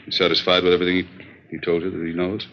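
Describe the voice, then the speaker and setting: An actor playing a brisk, commanding chief of detectives in a 1950s radio drama. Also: male voice, sits low, completely flat delivery. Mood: brisk, official. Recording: dull vintage radio recording, faint noise.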